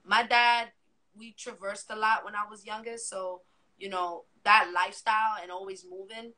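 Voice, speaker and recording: A woman's voice: mid-range voice, normal speed, poor audio. A young woman with a New York accent, Spanish as her first language, chatting animatedly as a guest and acting out quotes. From Miami, Florida. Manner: animated, swearing, acts out quotes. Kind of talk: casual interview chat.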